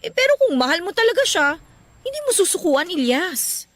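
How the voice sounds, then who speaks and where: Woman acting a lively, urging older sister. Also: very high voice, moderate pace. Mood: encouraging, earnest. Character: caring, encouraging older sister giving advice. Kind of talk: Tagalog radio-style drama acting.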